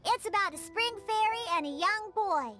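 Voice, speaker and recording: A woman voicing a tiny, cheeky, childlike fairy companion girl in a video game, with a squeaky and blunt delivery. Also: animated, normal speed. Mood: matter-of-fact. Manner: speaks of herself in third person, blunt. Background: music.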